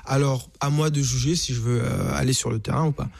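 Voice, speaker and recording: A man's voice: medium pitch, steady tone, brisk, creaky, breathy edge. A man in his forties from Rwanda, a singer and author, answering in a reflective radio interview, often hesitating and repeating words.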